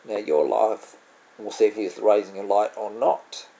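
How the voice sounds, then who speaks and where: A man's voice: lowish voice, little pitch movement, measured pace, creaky, dark tone, low-quality recording. A man with an Australian accent, commenting casually on his own online poker game, musing aloud and often trailing off to correct himself. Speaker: vlogger playing online poker. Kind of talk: casual commentary over gameplay.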